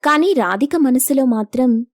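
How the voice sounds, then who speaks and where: A woman's voice: high voice, animated, moderate pace, a little mellow, dry recording, clean studio sound. A woman reading scripted narration for a moral story as its narrator.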